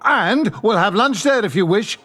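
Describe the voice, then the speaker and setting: An actor playing an eager, besotted bridegroom in an audio drama, theatrical, wordy and playfully pedantic. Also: male voice, sits high, lively intonation, moderate pace, a bit bright, soft background music.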